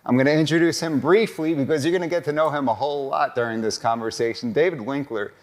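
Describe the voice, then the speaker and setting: A man's voice: fairly high pitch, fast. A man hosting a casual interview podcast, upbeat and promotional, recorded in a cigar lounge. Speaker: podcast host and lawyer.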